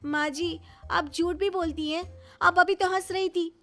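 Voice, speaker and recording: A woman's voice: very high-pitched, fairly even intonation, unhurried, fairly bright tone, soft background music, pitched above the performer's own voice, full character voice. A woman playing an earnest, easily offended young daughter-in-law in a family story, pleading and indignant. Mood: puzzled, offended.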